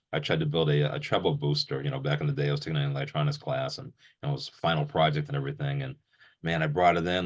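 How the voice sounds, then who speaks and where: A middle-aged man with a very deep voice hosts a relaxed chat. He speaks informally and rambles a little as he asks questions or shares his thoughts. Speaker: host, interviewer. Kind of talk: casual chat interview.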